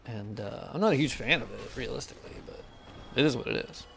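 A man's voice: medium pitch, expressive, brisk, vocal fry, fairly bright tone, soft background music. A young man with a nasal voice chatting casually while he plays and mods a game, cursing freely and answering chat questions. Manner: profane, reads chat questions aloud. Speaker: modder streaming gameplay.

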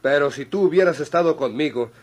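A stern, accusing Mexican lawman acted by a man in a vintage radio drama. The voice is fairly high and husky, with theatrical authority. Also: brisk, lightly acted. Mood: accusing, indignant. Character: stern, accusing male lawyer and police chief. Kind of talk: old radio drama acting.

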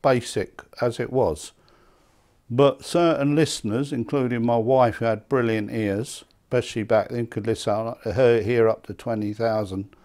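A man in his seventies with an English accent, reminiscing conversationally in an interview, halting and repeating words. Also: mid-range voice, steady tone, darkish tone. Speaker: loudspeaker maker being interviewed. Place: small indoor hi-fi demonstration room. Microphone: lapel mic.

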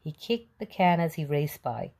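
A woman narrating a children's picture book as a read-aloud storyteller, in an expressive, deliberate manner. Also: sits low, creaky.

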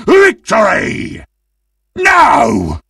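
A man voicing a greedy, gleeful, monstrous undead butcher in a video game, with a gravelly, husky British-accented voice and a menacing, theatrical manner. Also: low-pitched, wildly swooping pitch, slow pace, fairly bright tone, no echo, studio quality, distant mic, heavily acted. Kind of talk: video game character voice lines.

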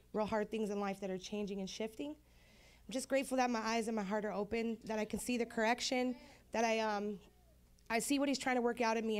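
A woman's voice: mid-range voice, a little mellow, smooth. A young woman giving an earnest, heartfelt personal testimony on a church stage into a handheld mic. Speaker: program graduate.

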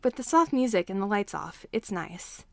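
Female story narrator, mid-pitched, reading scripted fiction in a dry, close recording. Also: lively intonation, moderate pace, creaky, fairly bright tone, dry recording. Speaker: fan fiction reader.

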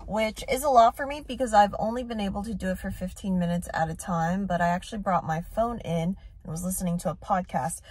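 A woman's voice: medium pitch, poor audio. A young woman in her twenties chatting upbeat to her phone camera as she vlogs her day, thinking aloud as she goes. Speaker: vlogger. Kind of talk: casual vlog chat. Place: car, store and home kitchen. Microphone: phone camera at arm's length.

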